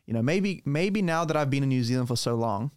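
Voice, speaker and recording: A man from Romania hosts a podcast in a relaxed conversational style. He is a native speaker of Romanian with a mid-range voice and phrases things informally and a little haltingly. The sound is dry, with no room around it. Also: medium pitch, no echo. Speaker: podcast host. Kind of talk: casual conversation.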